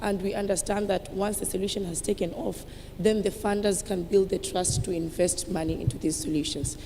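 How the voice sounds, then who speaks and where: A woman, raspy from a cold, introducing herself on a panel with confident questions to the audience. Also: steady tone, fairly quick, dark tone. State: has a cold. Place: conference hall with audience. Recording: reverberant hall, faint rumble.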